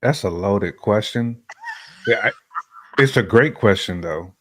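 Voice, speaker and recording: A man's voice: fairly low pitch, lively intonation, unhurried, a little hiss or hum. A middle-aged man in casual, colloquial conversation, giving his views as a panelist on a talk show. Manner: informal, colloquial, laughs while talking. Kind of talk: casual opinionated chat.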